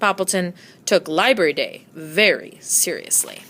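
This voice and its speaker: Animated woman reading a children's storybook. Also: fairly low pitch, measured pace, a little hiss or hum. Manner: expressive storytelling. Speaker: storybook reader. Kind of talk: children's read-aloud narration.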